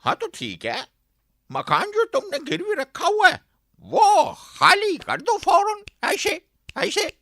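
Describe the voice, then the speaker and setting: An actor playing a gloating, menacing moneylender in a radio drama, with a sneering, drawn-out manner and mocking chuckles. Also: male voice, extremely high pitch, wildly swooping pitch, sharp tone, no echo, studio quality, heavily acted. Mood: demanding.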